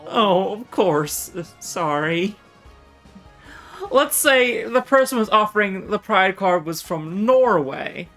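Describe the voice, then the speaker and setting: A woman's voice: mid-range voice, animated, takes it slowly, a bit bright, soft background music. A woman voicing a timid, earnest and gullible young male student in a game read aloud. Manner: hesitant, unsure. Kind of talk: visual novel character read aloud.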